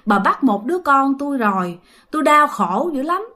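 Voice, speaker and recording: Woman playing a desperate pleading village mother, high-pitched. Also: big pitch swings, moderate pace, sharp tone, full character voice. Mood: desperate begging. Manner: pleading, repetitive. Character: desperate, frightened village mother begging for her children.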